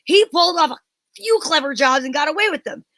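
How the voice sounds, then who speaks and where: A flustered, excitable police inspector from an old-time radio detective play, acted by a woman. The voice is extremely high and sharp, recorded dry, with melodramatic, agitated delivery. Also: fast talker, dry recording. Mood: alarmed, explaining.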